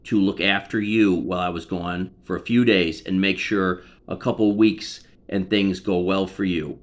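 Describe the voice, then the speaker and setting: Low-voiced, insistent man on a call. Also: fairly even intonation, moderate pace. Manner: insistent, argumentative. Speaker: son on a phone call with his mother. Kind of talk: personal phone conversation.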